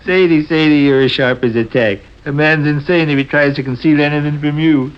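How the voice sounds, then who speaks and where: A man's voice: on the high side, moderate pace, natural voice. An American actor playing a playful, mock-pompous middle-aged husband and father in 1940s radio comedy. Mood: gleeful, teasing. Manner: florid, mock-pompous, teasing. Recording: dull old radio broadcast recording.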